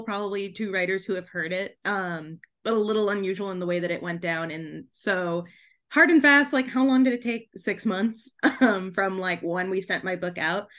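A woman with a medium-pitched voice chats as a guest in a casual interview. She is animated and talkative, breaking off and restarting her thoughts as she goes. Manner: chatty and animated, self-interrupting, often giggling while talking. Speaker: podcast guest, debut author.

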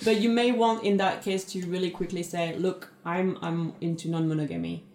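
Woman, podcast co-host, lowish voice, easygoing, filler words, echoey room. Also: expressive, moderate pace, a little mellow. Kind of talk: casual chat.

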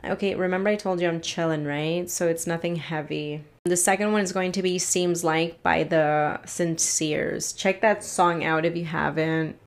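A woman's voice: fairly low pitch, fairly even intonation, moderate pace, hardly any pauses. A woman hosting a casual solo podcast, ranting in a frustrated, rambling way and swearing freely, a little congested from allergies. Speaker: podcast host.

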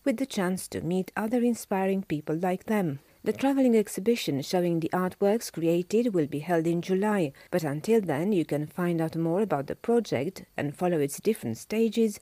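A woman presenting a radio feature, reading a script in an even, measured way. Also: lowish voice, no room sound.

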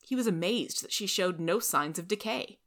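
A woman's voice: medium pitch, lively intonation, normal speed, fairly bright tone. A woman reading a scripted story aloud as its narrator.

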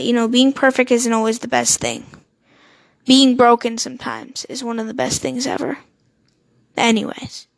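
A high-pitched young woman in her teens talks straight to her listeners. She rambles earnestly, trips over words and drops swear words and self-mocking jokes as she goes. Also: vocal fry. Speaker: podcast host. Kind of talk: solo motivational podcast monologue.

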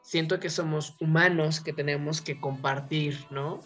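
A woman with a low voice and a Mexican accent speaks as a talk host over faint music. She shares her opinions informally, in a discursive way, often turning to check that her listener agrees. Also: fairly even intonation, unhurried, a bit bright.

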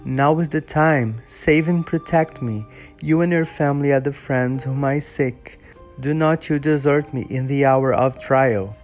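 A shunned and desperate creature read aloud by a man, imploring in a fervent voice. The is clear, and the pitch sits in the middle range. Soft music runs faintly behind the storybook reading. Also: unhurried, close to an everyday voice. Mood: pleading. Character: lonely shunned creature, male, pleading and desperate.

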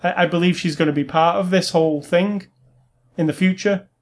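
A man with a slightly high-pitched voice talks as the co-host of a film review. His delivery is casual and informal, and he states his opinions bluntly. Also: steady tone, fairly quick.

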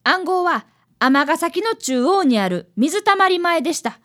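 A woman voicing a very high-pitched eager schoolboy. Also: lively intonation, measured pace, a bit bright, full character voice. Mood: proudly explaining. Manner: earnest, polite boyish speech. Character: eager, polite fifth-grade boy apprentice detective. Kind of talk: radio drama acting.

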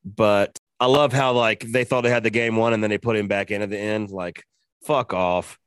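A man's voice: on the low side, steady tone, moderate pace, smooth, no echo. A man co-hosting a sports betting show in loose, profane, joking banter. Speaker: podcast co-host. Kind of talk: casual sports betting chat.

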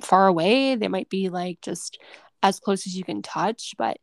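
A woman's voice: mid-range voice, unhurried, no room sound, clean studio sound. A young American woman with at most a faint Massachusetts accent, hosting a casual chat in an effusive, encouraging, informal way. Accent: American, only faintly Massachusetts. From United States, Massachusetts. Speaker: podcast host.

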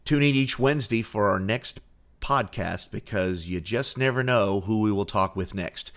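A man's voice: fairly low pitch, moderate pace. A man reading a scripted podcast announcement as its host. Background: loud guitar music.

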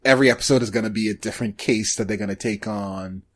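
A man hosting a podcast, chatting casually about shows in a halting, hesitant way. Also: fairly low pitch. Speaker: podcast host.

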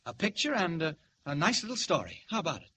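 Persuasive newspaperman, forceful bright tone, animated, old radio serial. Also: a little high, fast talker, a little hiss or hum. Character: eager, persuasive, persistent young newspaper reporter man. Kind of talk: 1940s radio acting.

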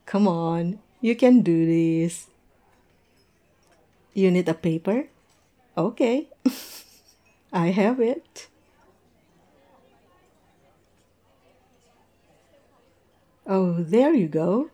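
A woman playing a tipsy, observant coworker in a conversational audio roleplay, musing and teasing in a halting way. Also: lowish voice, lively intonation, warm tone. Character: tipsy, observant young woman chatting with coworkers over drinks.

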